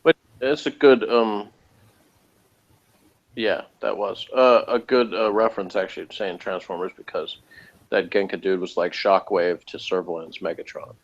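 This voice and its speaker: A man's voice: sits low, fairly even intonation, moderate pace, muffled recording. A man chatting informally as he co-hosts a TV review, talking in a hesitant, rambling way. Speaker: co-host of a TV review show. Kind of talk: casual review chat.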